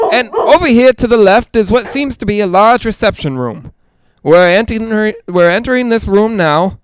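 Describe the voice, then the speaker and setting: A man playing an excitable, skeptical reporter in a 1940s-style radio drama, hesitant and stammering when rattled. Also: sits high, clean tone, no echo. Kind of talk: 1940s radio drama acting, live on-the-scene commentary.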